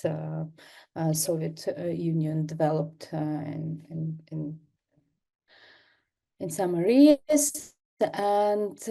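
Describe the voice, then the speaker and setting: A woman's voice: fairly low pitch, gravelly, warm tone. Hesitant woman lecturing with lots of fillers. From northern Kazakhstan. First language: Kazakh. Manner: halting, many fillers and restarts. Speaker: guest lecturer, artist. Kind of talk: online seminar lecture. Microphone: computer mic on video call.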